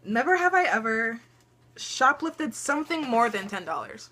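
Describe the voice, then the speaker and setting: Teen girl vlogger, bright voice, chatty and giggly, lots of ums. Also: a little high, big pitch swings, normal speed. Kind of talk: casual storytelling chat.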